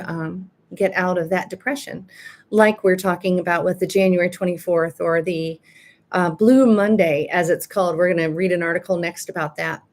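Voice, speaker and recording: Older lady life coach on a livestream, bit raspy today, chatty with lots of ums, middle pitch. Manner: chatty, pauses with ums, reads quotes aloud. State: slightly raspy voice. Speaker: life coach and podcast host. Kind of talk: live-streamed podcast, reading articles and greeting chat.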